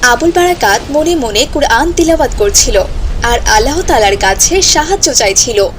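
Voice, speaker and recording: A woman's voice: high-pitched, lively intonation, normal speed, slight background noise. A woman giving scripted storytelling narration for a cartoon folk tale.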